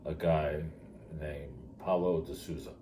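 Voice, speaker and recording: A man's voice: extremely low pitch, fairly even intonation, slow, mellow. An older man telling a personal story conversationally, in plainspoken, short emphatic phrases. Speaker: retired teacher telling his story. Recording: clearly noisy background.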